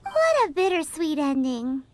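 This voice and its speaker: A woman voicing a tiny, childlike girl fairy in a video game, squeaky, perky and blunt, full of exclamations. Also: very animated, measured pace. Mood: cheeky. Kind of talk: video game character acting.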